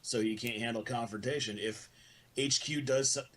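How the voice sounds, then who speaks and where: A man's voice: mid-range voice, fairly even intonation, normal speed, a bit bright. A man speaking bluntly, with plenty of swearing, in an argumentative casual group conversation. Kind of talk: heated group voice call argument.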